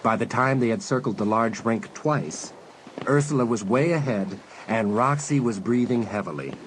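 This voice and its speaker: A man's voice: medium pitch, moderate pace, background noise. A man narrating a suspense story as a dramatic scripted audiobook. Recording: music bed under narration.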